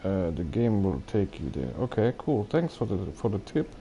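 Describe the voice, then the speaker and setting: A man with a fairly low, mellow voice livestreams a video game. He rambles casually to viewers, hesitating and thinking aloud. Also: sing-song, moderate pace, soft background music.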